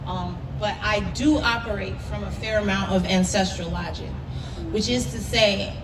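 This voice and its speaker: A woman speaking as a guest poet in a live interview, reflective and emphatic with deliberate phrasing. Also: medium pitch. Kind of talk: live on-stage interview, conversational. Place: live event space with audience. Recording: echoey, noisy room, distant mic.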